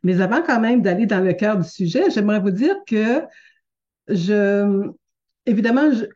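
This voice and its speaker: Québécois woman teacher, mellow voice, halting delivery with lots of fillers, lo-fi webinar mic. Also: medium pitch, lively intonation. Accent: Québécois French. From Quebec, Canada. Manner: hesitant, many fillers and restarts, gentle and earnest. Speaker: teacher hosting a live webinar. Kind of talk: unscripted live webinar talk. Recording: dull lo-fi webinar audio.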